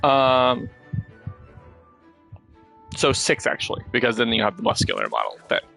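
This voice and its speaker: A man streaming a game with casual commentary, explaining things in a halting, self-correcting way. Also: mid-range voice, huge pitch swings, gravelly, pushed and bright. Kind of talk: casual gameplay commentary and chat. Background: video game music.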